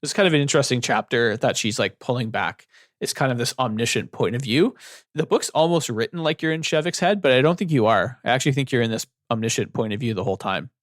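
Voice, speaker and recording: A man's voice: mid-range voice, fast, no echo, studio quality. A young man co-hosting a book club podcast, talking casually with lots of fillers and loose, rambling sentences. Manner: relaxed, rambling with many fillers.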